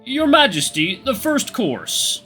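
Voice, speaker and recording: A man's voice: very high voice, wildly swooping pitch, slow pace, sharp tone, soft background music, pitched above the performer's own voice, heavily acted. A man voicing a deferential, formal and fussy royal servant in a storybook tale. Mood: attentive. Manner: formal, courteous. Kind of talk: storybook character voice.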